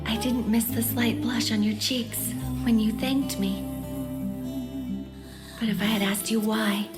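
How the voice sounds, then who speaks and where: Woman doing a high girly anime schoolgirl, playful and fond, loud music behind her. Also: steady tone, unhurried, close to an everyday voice. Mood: wistful, fond. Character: lively, upbeat teenage schoolgirl with wistful affection. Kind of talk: anime audio drama dub acting. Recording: voice over loud background music.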